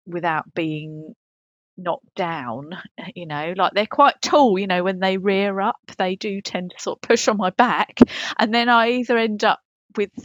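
A woman's voice: mid-range voice, a little slow, no room sound, muffled recording, natural voice. A British woman playing a chatty pop singer, rambling matter-of-factly as a podcast host. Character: chatty dog-owning pop singer answering fan questions. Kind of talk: comic in-character advice segment.

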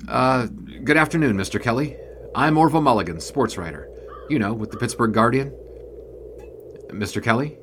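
An actor playing a wry, wordy 1920s sports writer in an audio drama, dryly sardonic in both narration and dialogue. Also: male voice, mid-range voice, expressive, moderate pace, pauses often, background noise, lightly acted. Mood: nervous, flustered. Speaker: narrator and lead character.

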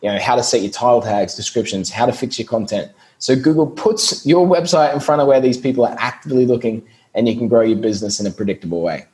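An Australian man, a guest on a podcast, talking through his business in a relaxed, explanatory way and laughing easily. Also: medium pitch, fast talker, hardly any pauses. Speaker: guest, SEO business owner. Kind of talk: casual podcast interview.

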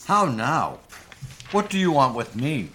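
A man's voice: medium pitch, huge pitch swings, a little slow, fairly bright tone, slight background noise. A man playing a crotchety, sceptical old miser in a community radio play, with a blunt, theatrical delivery. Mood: wary.

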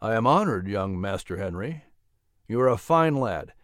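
A man voicing a gentle, earnest colonial preacher who speaks in a calm, measured, persuasive way. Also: fairly low pitch, no echo, studio quality, voice pushed down, natural voice.

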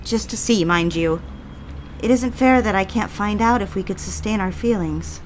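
A romantic, torn young woman, played by an actress, with a medium-pitched voice. She is candid, wry and slightly theatrical in an audio drama, over faint background noise. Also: moderate pace, natural voice, low-quality recording. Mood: conflicted, confiding. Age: 20s. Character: conflicted, romantic young woman confiding in her father.

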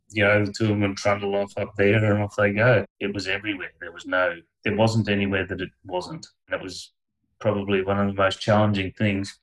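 Deep-voiced man telling his story frankly. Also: fairly bright tone, low-quality recording. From Australia. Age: middle-aged. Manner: frank, meandering, with frequent fillers and short laughs. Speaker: podcast guest. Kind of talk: candid conversational storytelling.

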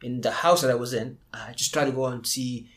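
A man's voice: medium pitch, fairly quick, a bit bright. A young man from Abu Dhabi with an Indian-tinged English accent, telling his story in a casual interview, rambling with many restarts. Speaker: guest.

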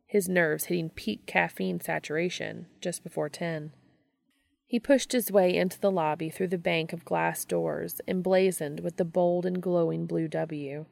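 A woman's voice: lowish voice, gravelly, darkish tone, no echo. A woman reading fiction aloud as a narrator, in scripted audiobook style. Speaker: reader of fan fiction audio episodes.

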